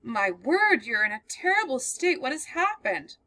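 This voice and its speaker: A woman voicing a calm, kindly gentleman who soothes a frightened girl in a read-aloud play. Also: high-pitched, normal speed, creaky, pitched above the performer's own voice, full character voice. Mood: soothing. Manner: soothing, reassuring.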